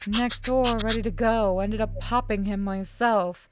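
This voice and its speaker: A woman's voice: mid-range voice, steady tone, a little slow, noisy background, natural voice. A woman voicing a menacing, foul-mouthed male killer from a visual novel in a curt, threatening manner. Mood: accusing. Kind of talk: visual novel character read-aloud.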